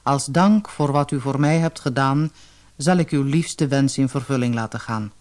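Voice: mid-range voice, darkish tone, voice pushed down, close to an everyday voice. A narrator voicing a thin male thunder spirit who speaks formally, solemnly and courteously in a fairy tale audiobook. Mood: solemn, grateful. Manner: formal, measured announcements.

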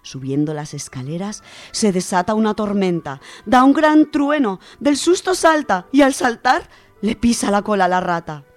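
A woman with an accent from Spain, presenting and reading children's stories on the radio in an expressive, dramatic way. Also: mid-range voice, moderate pace, no echo, soft background music. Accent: Spanish from Spain. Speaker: radio storytelling contributor. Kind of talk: children's book reviews and expressive story reading. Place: radio studio.

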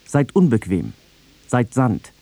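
A man reciting literary texts in a measured, solemn manner. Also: mid-range voice, huge pitch swings, creaky, mellow, dry recording. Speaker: reader of literary quotations.

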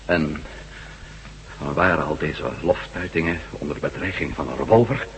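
An actor playing an inquisitive, self-assured reporter in a radio drama, brisk and questioning with a sardonic edge. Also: male voice, rumbling low, fairly bright tone, slight background noise, low-quality recording. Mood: wary, questioning. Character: inquisitive male ex-astronaut reporter, self-assured, bold, wry. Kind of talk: Dutch radio drama acting.

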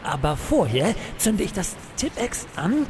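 A man's voice: high voice, big pitch swings, unhurried, background noise, exaggerated voice. An actor playing a hapless male office worker as a pompous adventure hero, exclamatory and full of bravado, in a comic audio drama. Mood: blustering bravado.

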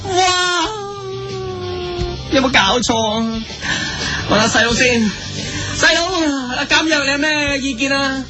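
Brash young band leader, high voice, Hong Kong accent, emphatic exclamations, comic radio host, music behind. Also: male voice, takes it slowly, pauses often, poor audio. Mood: enthusiastic. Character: brash, boastful young male band leader hosting youth show. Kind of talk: comic radio sketch presenting.

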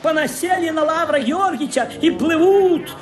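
An actor playing an excitable, grumbling ship's lookout with a whining, indignant manner in a comic radio play. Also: very high-pitched, moderate pace.

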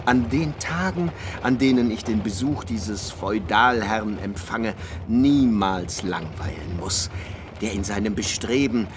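An actor playing a garrulous, pedantic scholar in a radio play, florid and erudite, given to amused society gossip with chuckles and ums. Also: male voice, lowish voice, background noise. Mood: gleeful, mocking.

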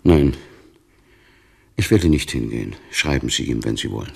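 An actor in a German radio play, playing a weary, resigned old immigrant man with a gravelly voice and a subdued, reluctant way of speaking. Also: extremely low pitch, expressive, normal speed, exaggerated voice. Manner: subdued, reluctant, plain-spoken.

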